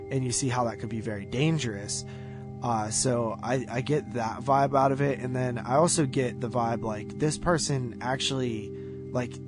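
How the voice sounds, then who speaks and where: A man co-hosting a movie podcast, reviewing films casually in a halting way, thinking aloud and qualifying as he goes. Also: medium pitch. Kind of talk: casual film review chat.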